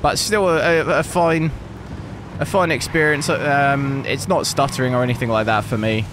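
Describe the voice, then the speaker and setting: A man with a hoarse, lost voice, hosting a PC gaming channel and commenting casually while he plays, thinking aloud. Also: medium pitch, normal speed, background noise. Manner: relaxed, rambling, thinking aloud. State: hoarse, losing his voice. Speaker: PC gaming benchmark channel host.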